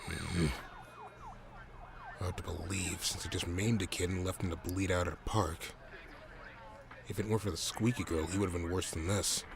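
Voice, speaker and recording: A man playing a gruff, cynical police detective in an audio drama, terse and brusque with a gravelly voice. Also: low voice, fairly even intonation, moderate pace, long pauses, crowd noise. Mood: grim, determined. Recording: noisy scene, siren ambience behind.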